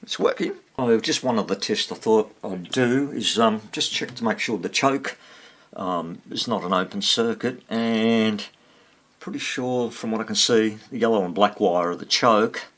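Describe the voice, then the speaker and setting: A man giving casual, unscripted hands-on repair commentary, thinking aloud in short fragments with a husky, gravelly voice. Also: fairly low pitch, measured pace, a bit bright. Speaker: hobbyist radio repairer demonstrating on video.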